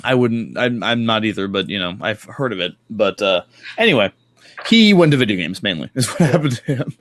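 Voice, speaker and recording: A man hosting a casual comics chat, speaking in a halting, rambling way with frequent self-corrections and casual swearing. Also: lowish voice, expressive, a bit bright. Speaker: podcast host.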